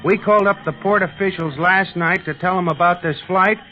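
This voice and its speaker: An actor playing a folksy, grumbling, good-hearted pilot sidekick in a 1930s radio serial, slangy and blustering. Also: male voice, high voice, fairly even intonation, brisk, faint music behind, natural voice. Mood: cheerful bluster. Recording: dull, noisy 1930s radio recording.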